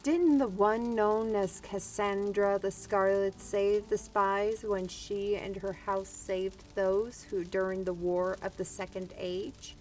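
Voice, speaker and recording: A woman playing an earnest, defiant human in a fantasy audio drama, speaking formally and deliberately. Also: medium pitch, fairly even intonation, darkish tone, soft background music, natural voice, poor audio. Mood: earnest, challenging. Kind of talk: fantasy audio roleplay acting.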